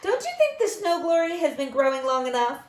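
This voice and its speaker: A woman's voice: sits high, big pitch swings, a little fast, voice pushed up. A woman voicing an eager young boy who exclaims and pleads in a storybook. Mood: pleading. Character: eager young boy Henry, fond of his dog. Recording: echoey room.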